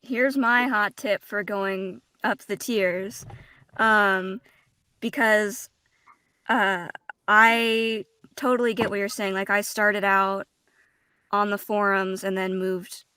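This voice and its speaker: A woman voice actor talking casually on a panel, halting as she searches for words, with some self-deprecating humor. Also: medium pitch, steady tone, sharp tone, smooth, no room sound.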